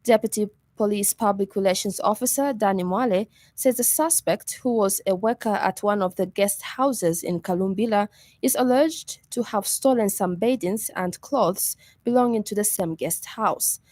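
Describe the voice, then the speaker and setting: Female newsreader, Zambian accent, formal and measured bulletin delivery, close dry studio sound. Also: medium pitch, a little mellow, no room sound. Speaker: radio news reader. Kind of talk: scripted news bulletin reading.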